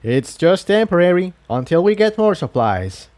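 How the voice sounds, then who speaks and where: A man's voice: sits high, big pitch swings, normal speed, darkish tone, pitched above the performer's own voice. A man voicing a devout, courteous pegasus townsman who explains things earnestly and formally in a fantasy audiobook. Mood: apologetic, reassuring. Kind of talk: acted dialogue in fan fiction audiobook.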